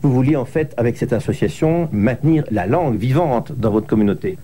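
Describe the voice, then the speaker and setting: A man's voice: mid-range voice, animated, brisk, warm tone, a little hiss or hum. A man interviewing guests, asking leading questions with some stumbling phrasing.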